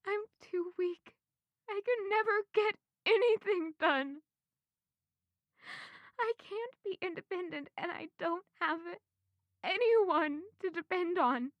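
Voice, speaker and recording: Fragile, high-voiced grieving young woman. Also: no echo, lo-fi sound. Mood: anguished, self-loathing. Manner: emotive, halting, theatrical. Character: grieving young woman, fragile, self-blaming castle servant. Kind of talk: dramatic audio drama monologue and narration. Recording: music under the voice. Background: music.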